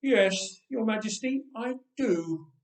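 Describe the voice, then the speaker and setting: A man's voice: high voice, animated, slow pace, distant mic, pitched above the performer's own voice. A man voicing an earnest, brave young shepherd boy in a storybook, plain-spoken and determined. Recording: roomy, echoing room sound.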